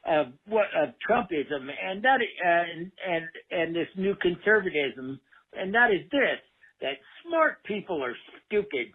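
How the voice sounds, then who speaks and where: A man leaving an earnest, rambling political voicemail in a halting, repetitive way. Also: high-pitched, breathy edge. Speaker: voicemail caller. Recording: tinny phone voicemail.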